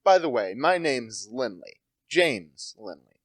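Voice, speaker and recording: A teenage student actor playing a brisk, assured, well-educated gentleman in an amateur radio play. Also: medium pitch, very animated, no room sound, studio quality. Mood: genial, considering. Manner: breezy, assured, clipped questions.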